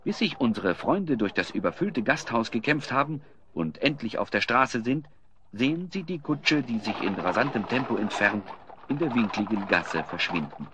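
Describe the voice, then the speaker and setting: A man's voice: medium pitch, expressive, moderate pace, crowd noise, close to an everyday voice. A man narrating an adventure audio play as a vivid, scripted storyteller. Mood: dramatic.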